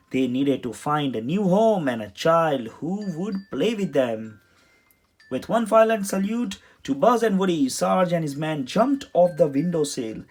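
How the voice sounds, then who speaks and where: A man's voice: on the high side, darkish tone. A man narrating a children's storybook as a read-aloud. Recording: music behind the voice.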